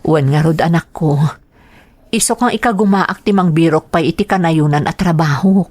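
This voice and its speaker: A devoted, worn-down mother from an Ilocano radio-style drama, acted by a woman. Her voice sits a little low for a woman. She speaks with an Ilocano Filipino accent. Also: normal speed, darkish tone, no room sound. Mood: weary, resolute. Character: weary, devoted widowed mother addressing her child. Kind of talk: Ilocano audio drama acting.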